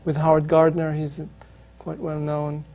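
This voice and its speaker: Hesitant Israeli man, dark and flat. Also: a little high, normal speed. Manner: hesitant, many ums and restarts. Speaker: author, interview guest. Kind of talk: reflective interview answers.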